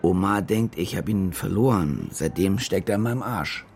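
A man's voice: low-pitched, lively intonation, normal speed. A man acting in a radio drama as a cynical, rattled German war reporter who also narrates his story. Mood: dry, sardonic.

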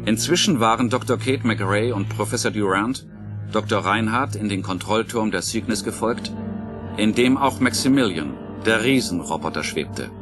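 A man's voice: on the low side, moderate pace, lightly acted. A man playing the sober narrator of a space adventure audio drama, reading scripted narration in a composed, matter-of-fact way. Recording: orchestral music behind the voice.

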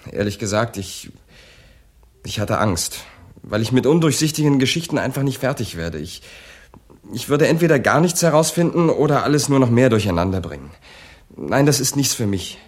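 A man's voice: medium pitch, expressive, normal speed, lightly acted. An actor playing a helpless, lovesick young man in a radio play, hesitant, faltering and pleading. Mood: anxious, hesitant. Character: anxious, helpless, lovesick young male poet. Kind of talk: 1980s German radio play acting.

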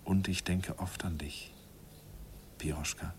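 A man's voice: low voice, fairly even intonation. An actor playing a wistful man who narrates a remembered love in a tender, sentimental 1950s radio drama style. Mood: tender longing. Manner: sentimental, slow, deliberate, tender. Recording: 1950s radio recording, faint noise.

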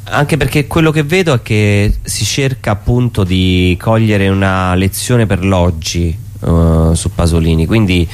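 A man's voice: deep voice, fairly even intonation, measured pace, hardly any pauses, darkish tone. A man reflecting casually in an interview, musing hesitantly and repeating his phrases as he goes. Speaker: interviewed theatre performer.

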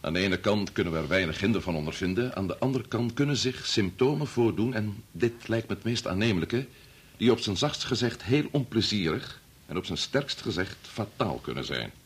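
An actor playing a grave middle-aged chief bacteriologist and family man torn by conscience, speaking formally and deliberately in a radio play. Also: on the low side, expressive, breathy edge. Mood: grave, explaining. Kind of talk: Dutch radio play acting.

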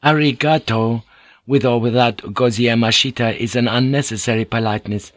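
A man voicing a polite, composed Japanese woman interpreter in an audiobook story, speaking in a measured, formal and deferential way. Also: medium pitch, fairly even intonation, fairly bright tone, no room sound, lightly acted. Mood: formal, explaining.

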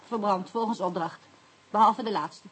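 A woman's voice: mid-range voice, moderate pace, fairly bright tone, slight background noise, natural voice, low-quality recording. An actress playing a nervous, evasive young woman under questioning in a radio drama, hesitant, stammering and defensive.

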